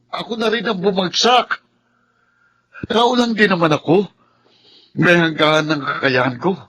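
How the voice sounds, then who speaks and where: Husky, earnest, weary Filipino son. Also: male voice, fairly high pitch, a bit bright. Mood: pleading, fed up. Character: weary, exasperated grown son carrying his family. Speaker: drama actor. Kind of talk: Filipino radio drama acting.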